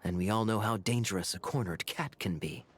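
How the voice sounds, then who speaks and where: A man voicing a wry, charming adult knight who talks in a smooth, teasing way. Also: fairly low pitch, a little fast. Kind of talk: game voice acting.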